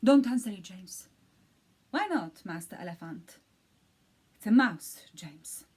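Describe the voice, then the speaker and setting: A woman's voice: medium pitch, expressive, slow pace, a little mellow, slightly rough. A woman voicing a wealthy elephant who lives in a mansion and gives orders to his butler, in a storybook reading. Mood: hushed alarm. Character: rich elephant master of a mansion, easily scared.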